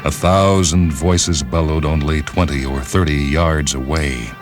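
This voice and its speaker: A man narrating a literary short story for radio in a measured, solemn manner. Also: rumbling low, fairly even intonation. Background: orchestral music.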